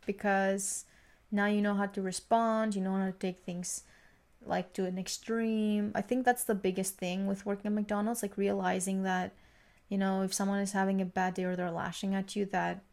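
Creaky-voiced young woman with slight Greek accent. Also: medium pitch, level tone, normal speed, darkish tone. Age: young adult. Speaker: podcast co-host. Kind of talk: casual conversation, sharing work experiences.

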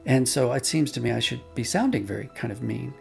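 A man's voice: mid-range voice, lively intonation, a little fast, creaky, over music. A man being interviewed as an audio drama producer, explaining conversationally and telling anecdotes with quoted dialogue.

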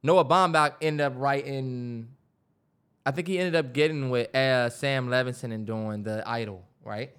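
A man's voice: medium pitch, a little slow, a bit bright, clear, resonant tone. An American man co-hosting a podcast, chatting casually with slangy, profane, emphatic phrasing. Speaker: podcast co-host. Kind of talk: casual chat.

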